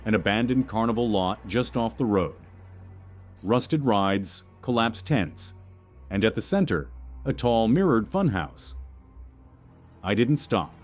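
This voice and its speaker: A man reads a scripted first-person horror story in a measured, suspenseful way, using short clipped phrases. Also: lowish voice, animated. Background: music under the voice.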